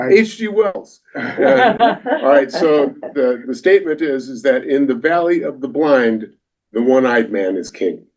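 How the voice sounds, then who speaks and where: A man's voice: medium pitch, lively intonation, takes it slowly. A man leading an environment team, giving a rambling report at a community meeting while searching for words. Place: meeting room. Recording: dull, muffled audio.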